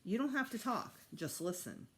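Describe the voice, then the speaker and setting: A woman's voice: mid-range voice, sing-song, normal speed, a bit bright. A woman voicing a patient, reassuring mother in a storybook, coaxing and placating her child. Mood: upbeat, reassuring.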